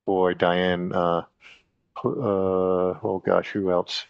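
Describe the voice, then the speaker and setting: A man hosting a podcast in a casual, rambling monologue, halting often with fillers and restarts. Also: low-pitched, little pitch movement, creaky, clear, resonant tone, no echo. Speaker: podcast host. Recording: dry, dull lo-fi sound.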